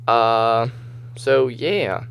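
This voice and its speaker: A man with a slightly hoarse, just-woken voice gives casual gameplay commentary, rambling and thinking aloud as he goes. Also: medium pitch, extremely slow, slight background noise. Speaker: gamer, host of a gameplay video series. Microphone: voice-over recorded over game footage.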